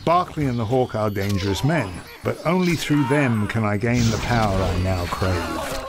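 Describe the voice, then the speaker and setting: An actor narrating as an ambitious knight in a video game, with a reflective, calculating manner. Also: male voice, lowish voice, expressive, normal speed, over loud music, lightly acted. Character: ambitious male knight narrating his choices.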